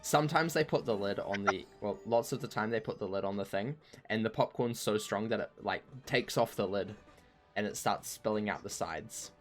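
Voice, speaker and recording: A man with a croaky voice chatting casually while streaming a game, rambling and poking fun at himself. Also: on the low side, moderate pace, faint music behind. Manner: rambling and self-mocking, laughs easily. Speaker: streamer playing an online game. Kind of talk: casual chat while gaming.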